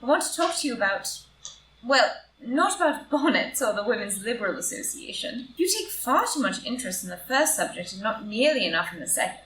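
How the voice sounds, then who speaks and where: A woman acts out a strict, loyal society wife in a drawing-room comedy. Her formal, deliberate lines rise and fall widely in a fairly high voice. The live reading sounds echoey, with faint noise. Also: very animated, fairly bright tone. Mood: confiding, proud. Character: upright, devoted, morally strict society wife. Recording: roomy, distant, noisy live group recording.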